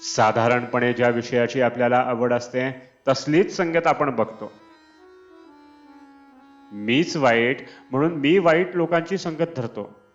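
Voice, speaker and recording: A man reads a devotional discourse slowly and deliberately, at a medium pitch. The recording is dull and lo-fi, with Indian classical instrumental music playing behind his voice. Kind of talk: scripted devotional discourse reading.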